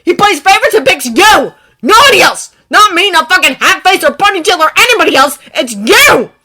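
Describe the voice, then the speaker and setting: A woman voicing a furious teenage boy with an explosive temper, shouting and swearing in a fan fiction reading. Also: mid-range voice, expressive, brisk, voice pushed up.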